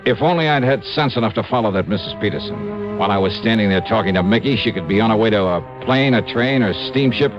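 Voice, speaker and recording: An actor playing a wry, tough male insurance investigator in a 1950s radio drama, dry narration and brisk talk. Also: fairly low pitch, expressive, lightly acted. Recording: dull old radio broadcast with faint music.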